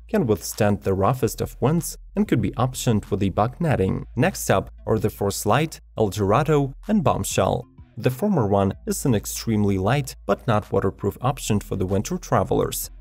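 A man reading a scripted product review as a voice-over, in an even, list-like manner. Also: fairly low pitch, no room sound. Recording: voice-over with faint background music.

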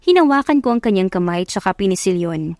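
A woman's voice: medium pitch, expressive, fairly quick, a little mellow, no echo, clean studio sound. A woman reading a scripted first-person love story as an audiobook narrator.

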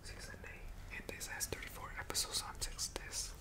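A young man speaking in a breathy, unvoiced whisper, rambling informally and slangily in a casual ASMR style, close to a microphone in a home room. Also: normal speed. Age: young adult. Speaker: ASMR video creator reviewing a show. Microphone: large USB microphone very close to the mouth.

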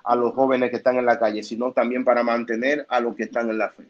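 Dominican guy talking slow and careful over a video call, muffled lo-fi sound. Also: medium pitch. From Dominican Republic. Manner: calm, measured, with hesitations. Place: home room, indoors. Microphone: laptop webcam on video call.

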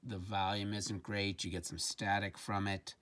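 A man's voice: lowish voice, level tone, a little slow, a bit bright. A man giving a casual hands-on product demonstration, informal and a little hesitant, as if thinking aloud. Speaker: presenter of a gadget review. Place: indoors at a tabletop. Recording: faint background noise with handling clicks.

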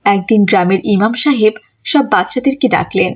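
A woman's voice: medium pitch, normal speed, slightly rough. A woman reading scripted narration for a children's moral story.

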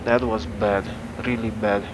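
A young man from Romania streaming a game, talking slowly and haltingly with many fillers, recorded at a desk in a small room. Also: lowish voice, little pitch movement. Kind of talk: casual gameplay chat. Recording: loud background noise.

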